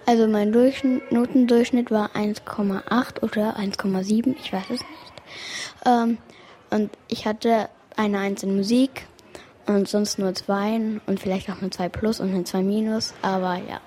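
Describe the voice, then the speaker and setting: A woman's voice: medium pitch, fairly even intonation, a little slow, warm tone, slight background noise. A female speaker talking thoughtfully and hesitantly in a radio feature interview.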